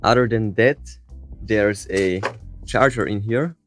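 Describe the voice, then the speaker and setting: A man's voice: lowish voice, slow pace. Man reviewing gadgets over music. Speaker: tech reviewer. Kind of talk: casual gadget unboxing and review commentary.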